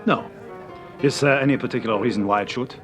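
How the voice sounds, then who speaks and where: An actor playing a suave, guarded, faintly menacing man in a radio drama, speaking formally and with cool, deliberate politeness. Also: mid-range voice, music in the background, lightly acted. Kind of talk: vintage radio drama acting.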